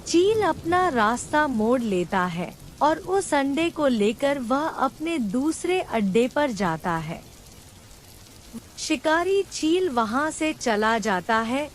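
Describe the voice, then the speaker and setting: A woman narrates a cartoon story in a high voice with animated swings of pitch. She takes her time over the scripted lines, and there is faint noise behind her. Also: slow.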